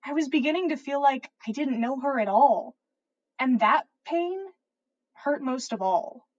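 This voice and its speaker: A woman reading fan fiction aloud as a podcast host, in expressive first-person story narration. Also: high-pitched, a little slow, highs cut off.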